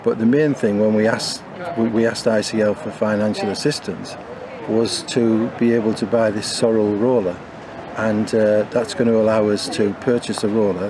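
Older man, lowish voice, halting with fillers, outdoors, people chatting behind. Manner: hesitant, many fillers and restarts. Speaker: bowling club committee member. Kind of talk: interview talk. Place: outdoors by a bowling green.

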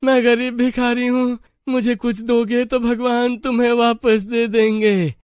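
A man's voice: extremely high pitch, flat delivery, unhurried, clean tone, dry recording, studio quality. A man voicing a hungry, poor beggar in a cartoon moral story, speaking in a plaintive, begging way. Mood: pleading. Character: pleading hungry poor male beggar, god in disguise. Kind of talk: animated Hindi moral story acting.

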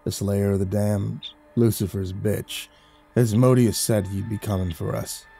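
A man's voice: lowish voice, steady tone, creaky, mellow, husky, soft background music, heavily acted. A man doing a sneering, cocky grave-robber villain. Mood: mocking. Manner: slow, mocking drawl.

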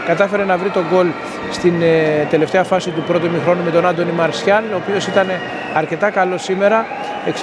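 A middle-aged man giving a steady, matter-of-fact postgame match report to a phone camera outside a stadium at night, with many hesitation fillers. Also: sits high. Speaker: sports reporter. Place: outdoors near a stadium at night. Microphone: in-ear earphone mic, phone selfie framing. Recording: phone recording with loud outdoor noise. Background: loud urban outdoor ambience.

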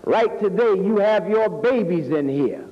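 In a long, ringing hall on muffled old tape, an American man preaches from a podium. His voice sits high, and he speaks slowly and emphatically, stretching key words as a practised orator does. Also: animated. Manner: emphatic oratory with drawn-out words and rhetorical questions. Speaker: minister preaching at a mosque. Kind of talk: sermon. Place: indoor hall, speaking at a lectern. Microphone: two podium microphones. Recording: reverberant hall, dull lo-fi old video sound.

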